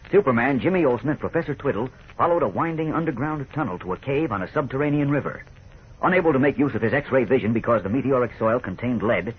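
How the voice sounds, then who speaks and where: Husky radio serial narrator, male. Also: mid-range voice. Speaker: narrator, announcer. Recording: tinny, narrow 1940s radio recording.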